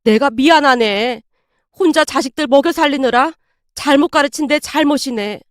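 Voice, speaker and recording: A woman narrator acts out an older Korean-drama style mother-in-law in a story reading. She is blunt and indignant, fiercely protective, and quick to berate. The voice sits high in a very dry recording. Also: no room sound, close to an everyday voice. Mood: sorrowful, apologetic.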